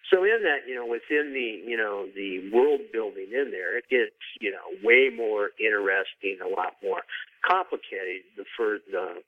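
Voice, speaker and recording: Man, low voice, narrow phone-like sound, casual interviewed author, informal explanatory talk, frequent asides. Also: expressive, normal speed.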